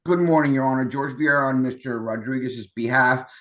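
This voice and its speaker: A man's voice: mid-range voice, brisk, fairly bright tone. A man, a defense attorney, speaking formally to the court over a video call through his computer. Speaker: defense lawyer. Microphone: computer microphone on video call.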